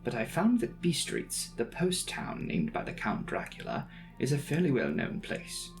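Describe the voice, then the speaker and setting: A man's voice: mid-range voice, huge pitch swings, moderate pace, creaky. A man voicing an earnest, inquisitive young traveller reading out his journal in a precise, matter-of-fact way for a dramatic audiobook. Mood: earnest, curious. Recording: music behind the voice.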